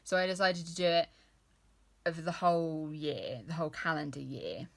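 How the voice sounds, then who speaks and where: Woman in her thirties, low voice, loose and chatty, lots of ums, laughs at herself. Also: normal speed, vocal fry. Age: 30s. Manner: rambling, lots of fillers and restarts, laughs at herself. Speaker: book vlogger. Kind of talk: casual chatty book talk.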